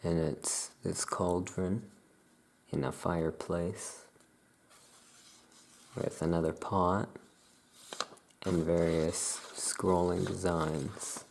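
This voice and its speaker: A low-pitched, soft ASMR voice with a heavy creak. The delivery is calm and drawn out, with long pauses, as a shopkeeper showing wares. Clear background noise sits behind the voice. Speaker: ASMR host playing a Salem witch shopkeeper. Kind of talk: soft-spoken ASMR roleplay.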